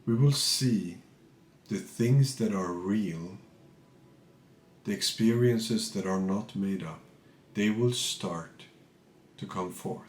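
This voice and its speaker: A man guiding a meditation as a teacher, calm and measured, speaking in short phrases with pauses between them. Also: on the low side, echoey room, a little hiss or hum. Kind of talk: guided meditation, unscripted spiritual talk.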